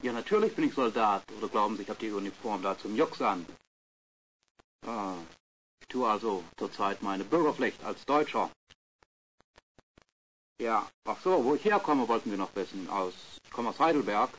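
A man's voice: on the low side, lively intonation, normal speed, long pauses, fairly bright tone. A man playing a chatty, teasing passer-by. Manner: talkative, slightly teasing replies. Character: chatty man in mid-life, soldier or mechanic. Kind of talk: scripted language-course role-play. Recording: lo-fi tape with faint hiss.

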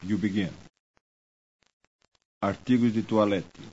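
Man, lowish voice, slow deliberate drill delivery, dull lo-fi tape sound. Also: fairly even intonation, darkish tone, breathy edge. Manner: slow, deliberate, evenly paced drill responses. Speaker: language course drill speaker. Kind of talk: scripted language drill.

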